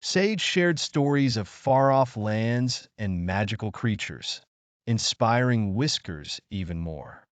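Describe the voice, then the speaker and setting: A man reads a children's bedtime story in a low voice. His delivery is lively, with pitch that rises and falls a lot, and the recording is close and dry. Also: takes it slowly, dry recording.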